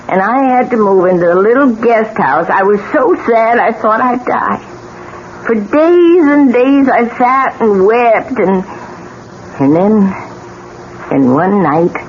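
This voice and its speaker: Slow, ominous old widow, dramatic swings. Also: female voice, mid-range voice, lively intonation. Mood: grieving. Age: older. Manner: dramatic, slow, foreboding delivery. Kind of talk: 1970s radio drama acting. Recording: dull, noisy old radio broadcast.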